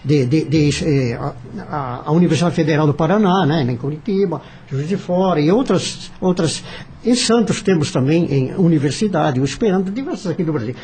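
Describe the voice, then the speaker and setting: A Brazilian man speaking off the cuff in a radio discussion, halting and repeating himself as he searches for words. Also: on the high side, expressive, darkish tone, a little hiss or hum.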